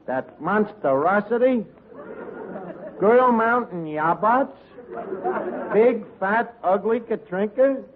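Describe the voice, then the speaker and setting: A man's voice: sits high, animated, slow pace, pauses often, some chatter behind. A man playing a wisecracking tavern manager who mangles words in slangy, sardonic 1940s radio comedy. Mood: harried, scornful. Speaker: comedy lead. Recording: tinny vintage radio, noisy studio audience.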